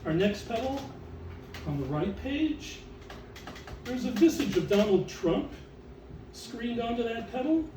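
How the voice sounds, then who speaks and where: A man reciting written text as author and curator, in a theatrical, deliberate way with drawn-out emphasis. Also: very high-pitched, very animated, warm tone. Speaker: author and exhibition curator reading aloud. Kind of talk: dramatic recitation of catalog text to an audience. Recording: roomy, distant mic with background noise.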